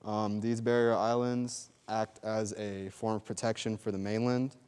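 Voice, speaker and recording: A young man giving a student research presentation in a steady, slightly halting way with fillers. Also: fairly low pitch, smooth, big-room sound. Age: young adult. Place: lecture hall.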